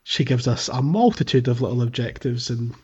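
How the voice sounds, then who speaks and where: A man co-hosting a podcast, talking casually about a video game with hesitant, measured phrasing. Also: medium pitch, animated, darkish tone, husky, highs cut off. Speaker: podcast co-host.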